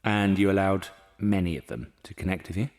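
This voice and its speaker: A man's voice: low-pitched, brisk, a little mellow, natural voice. A man playing a brusque, formal secretary of an eighteenth-century charity asylum in an audio drama, matter-of-fact and probing. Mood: businesslike, probing. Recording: echoey hall with long reverb.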